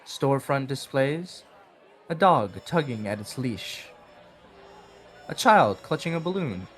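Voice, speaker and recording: A man reading scripted narration for a horror audio drama in a measured, dramatic storytelling manner. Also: medium pitch, expressive, fairly bright tone. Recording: background music under the voice. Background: music.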